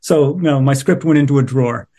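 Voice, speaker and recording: A man's voice: medium pitch, fast, warm tone. A middle-aged man speaking as an interviewed playwright, casual, reflective and a little wry.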